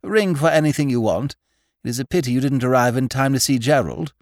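A man voicing a stately duchess, brisk, formal and coolly polite, in an audiobook. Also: medium pitch, a little mellow, no echo, clean studio sound, voice pushed up, close to an everyday voice. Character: stately, brisk aristocratic English lady, a duchess.

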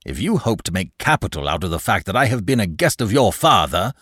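A man's voice: lowish voice, sing-song, a little fast, fairly bright tone, husky, dry recording, clean studio sound, exaggerated voice. A man voicing a pompous, exasperated bank manager for an audiobook, with stiff, indignant, scolding formal speech. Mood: angry, curt. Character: pompous, exasperated male bank manager, stern and easily angered. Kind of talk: audiobook character dialogue.

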